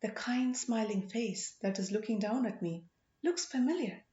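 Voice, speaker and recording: A woman's voice: fairly high pitch, expressive, moderate pace, mellow, muffled recording, close to an everyday voice. Woman reading as dazed young prince in echoey room. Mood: dazed wonder. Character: young exiled prince, dazed, earnest and wondering.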